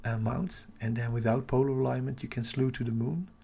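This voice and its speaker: Hushed, creaky-voiced man livestreaming. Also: steady tone, a little fast. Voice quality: hushed, half-whispered. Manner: keeping voice low for sleeping household. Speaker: astronomy livestream host. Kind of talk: casual live chat with viewers.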